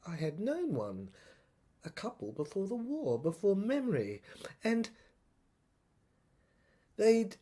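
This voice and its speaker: A woman playing a troubled soldier in an audio drama monologue, thinking aloud in a halting, stammering, husky voice. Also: medium pitch, huge pitch swings, mellow, full character voice. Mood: musing, uneasy. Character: troubled soldier piecing together a dead professor's secret diary.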